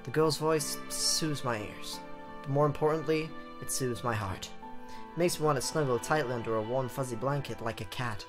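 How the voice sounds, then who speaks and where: A man's voice: mid-range voice, moderate pace. Playful man narrating a visual novel. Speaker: let's play host. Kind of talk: reading a visual novel aloud with jokey asides. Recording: game music behind the voice. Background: game music.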